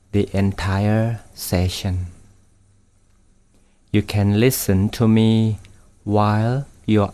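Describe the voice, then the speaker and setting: A man, a Buddhist meditation guide speaking in a soft, gently instructive way with long pauses while leading a guided meditation. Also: on the low side. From Thailand. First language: Thai.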